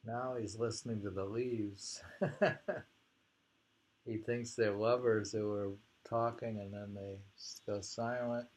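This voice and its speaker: A man casually reading poetry and talking it over in a halting, musing way. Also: on the low side, fairly even intonation, a little mellow. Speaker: reader and host of poetry readings.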